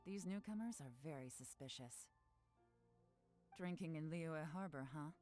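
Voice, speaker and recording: A low-voiced, mellow female investigator in a video game, with music behind her. She is cool, confident and shrewd, speaking in a measured, knowing way. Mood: shrewd, suspicious.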